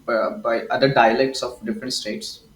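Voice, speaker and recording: A man's voice: mid-range voice, flat delivery, moderate pace, slight background noise. A man from India with an Indian accent, talking casually and reflectively, with many restarts. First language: Hindi. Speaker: host. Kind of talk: casual conversation. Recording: echoey room, faint ambience.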